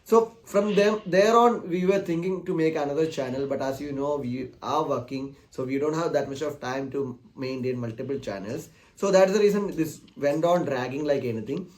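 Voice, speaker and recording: A man's voice: a little high, normal speed, few pauses, a little mellow. A man, a channel host talking directly to his viewers in an earnest, encouraging way with many "uh" fillers. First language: Malayalam. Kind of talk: casual direct-to-camera talk.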